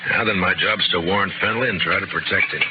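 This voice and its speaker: Man playing a deep-voiced, stoic western marshal. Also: a little fast, background noise, natural voice. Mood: stern. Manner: terse, dry, authoritative. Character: stoic, dry-witted, incorruptible frontier marshal. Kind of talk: 1950s radio western acting and narration. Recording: muffled old radio broadcast.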